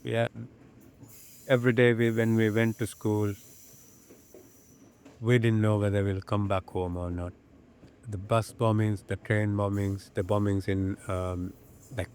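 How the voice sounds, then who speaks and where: A man in his fifties with a Sri Lankan accent, reminiscing in an interview and often pausing mid-phrase to find his words. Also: lowish voice, level tone, darkish tone, slight background noise. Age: 50s.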